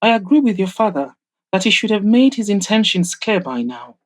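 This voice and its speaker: A woman voicing an excitable, frank young woman who teases her friend in an audio drama, animated and emphatic. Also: medium pitch, moderate pace. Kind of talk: audio drama character dialogue.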